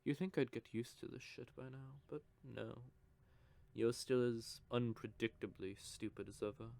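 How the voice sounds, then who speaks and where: A man's voice: mid-range voice, moderate pace, creaky, no echo, natural voice. A man doing a smug, teasing vampire. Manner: teasing, smug, with soft whispered stretches. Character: teasing cocky male vampire, possessive and playful. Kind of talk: audio roleplay for listeners.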